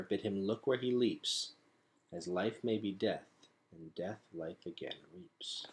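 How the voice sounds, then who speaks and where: A man's voice: fairly low pitch, a bit bright. A man reading a storybook aloud as a storyteller, with dramatic, emphatic phrasing.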